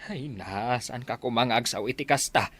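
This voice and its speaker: A man's voice: medium pitch, normal speed, fairly bright tone, dry recording, natural voice. An actor playing a practical husband steadying his worried wife, with an Ilocano Filipino accent, in a radio drama. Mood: firm reassuring.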